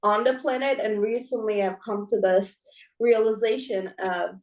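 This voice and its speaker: A woman co-hosting a podcast, speaking in an emphatic, heartfelt way with quick asides and a frequent "right?". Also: mid-range voice, fairly even intonation, darkish tone, poor audio. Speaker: podcast co-host. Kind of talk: casual conversation.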